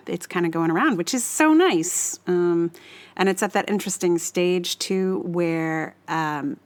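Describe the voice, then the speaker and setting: A woman hosting a solo podcast, talking casually in a rambling, hesitant way and often correcting herself as she goes. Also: lowish voice. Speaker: podcast host.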